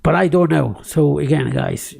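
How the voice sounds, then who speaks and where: A man's voice: on the high side, moderate pace, creaky, darkish tone. A man who is not a native English speaker, rambling and ranting in a casual tech screen-recording commentary, close to the microphone, with many fillers and restarts. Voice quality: close-miked. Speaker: tech YouTuber.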